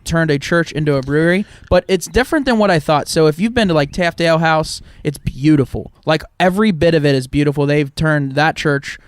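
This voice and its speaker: Young man, podcast co-host, smooth resonant voice, a bit high, dry sound. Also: a little fast, hardly any pauses, no echo. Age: 20s. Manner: rambling, laughs often. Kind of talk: casual chat.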